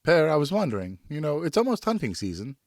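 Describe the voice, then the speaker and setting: Man playing an eager, higher-voiced young boy. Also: very animated, quick pace, darkish tone, dry recording, clean studio sound. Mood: hesitant, hopeful. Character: eager, polite young son, full of wonder.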